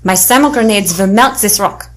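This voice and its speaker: A woman's voice: medium pitch, moderate pace, fairly bright tone, natural voice. A woman playing an earnest, methodical demolitions expert in an adventure audio drama, speaking precisely and seriously. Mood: focused.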